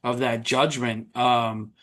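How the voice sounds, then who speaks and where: A man with an ordinary middle-pitched voice hosts a relaxed interview. He rambles through long turns and restarts his questions partway through. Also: takes it slowly. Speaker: podcast host. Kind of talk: casual interview chat.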